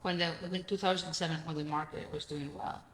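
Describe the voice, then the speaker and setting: Brief: woman from Greece, a chatty and animated storyteller, recorded in a roomy space. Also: low-pitched, a little fast, a little hiss or hum. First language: Greek. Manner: animated storyteller, acts out quoted dialogue, frequent "I'm like". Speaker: guest, real estate investor. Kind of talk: casual interview chat. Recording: echoey room with faint ambience.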